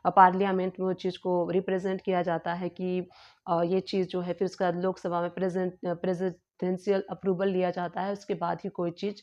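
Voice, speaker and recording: A woman explaining tax news in a casual, hesitant lesson, pausing with fillers and repeating herself. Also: fairly low pitch, completely flat delivery, a little mellow. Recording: slightly dull lo-fi home recording.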